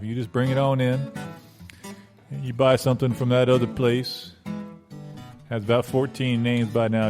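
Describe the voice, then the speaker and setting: A man playing a folksy, sly church car salesman giving an earnest, rambling, halting local advertisement. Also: medium pitch, a little mellow, close to an everyday voice. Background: plucked guitar music.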